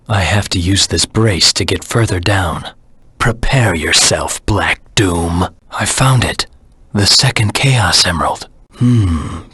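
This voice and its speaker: Game antihero creature: very raspy, deep, dry close sound, grave and defiant. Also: male voice, bright, forceful tone, no echo, heavily acted. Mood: brooding, intent. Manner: grave, terse, menacing. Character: brooding, defiant adult male antihero creature, cold and determined. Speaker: video game voice actor. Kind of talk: dramatic game voice acting.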